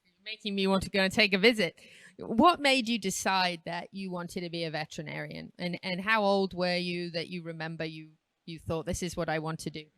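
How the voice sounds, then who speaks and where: A woman's voice: on the low side, steady tone, a little fast, fairly bright tone, dry recording. A woman hosting a talk show, chatting with a guest and asking open questions. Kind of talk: interviewing a guest. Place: equine hospital.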